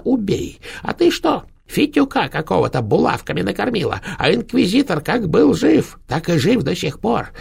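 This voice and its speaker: A rotting old witch grandmother, as a male narrator puts her on, her voice rattling like old tin and thick with gravel. She sneers and scolds, her high voice swinging wildly up and down. It comes out husky and hoarse, spiteful from the grave. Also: exaggerated voice. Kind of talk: audiobook narration.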